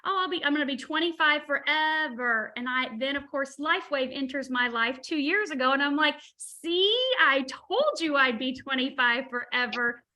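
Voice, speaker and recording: A woman in her forties speaking on a video call, her high voice animated and effusive. Her tone is bright and crisp, and she keeps a chatty, enthusiastic flow. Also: normal speed, hardly any pauses. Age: 40s. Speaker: webinar co-host. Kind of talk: casual webinar chat.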